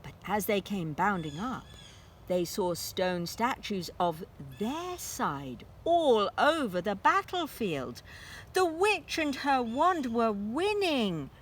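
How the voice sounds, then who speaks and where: Woman reading a kids book out loud, expressive n slow, dramatic, faint noise behind her. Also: mid-range voice. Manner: dramatic, deliberate phrasing. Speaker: storyteller. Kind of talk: expressive children's read-aloud narration.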